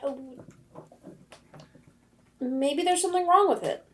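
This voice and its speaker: A woman's voice: very high voice, takes it slowly, a bit bright, a little hiss or hum, voice pushed up, heavily acted. A woman playing a defensive teenage daughter, protesting hesitantly and stammering.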